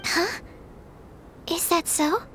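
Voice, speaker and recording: A woman's voice: extremely high pitch, extremely slow, very noisy, heavily acted. A woman voicing a polite, earnest, shy young female alchemist in a video game, precise and formal. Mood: shy, flustered.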